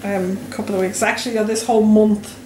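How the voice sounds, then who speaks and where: A woman's voice: medium pitch, level tone, brisk, a little mellow, a little hiss or hum. Chatty Irish woman in a roomy space. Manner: chatty, teasing banter. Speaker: soapmaker. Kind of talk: casual chat while cutting soap.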